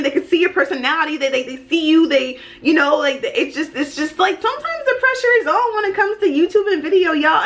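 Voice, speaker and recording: Female, 30s, high voice. Coach-style YouTuber: casual, motivational, emphatic, folksy check-ins. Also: fairly bright tone. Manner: emphatic, folksy, often checking in with "okay?" and "right?". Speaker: YouTuber and life and business coach. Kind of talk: casual motivational vlog talk to camera.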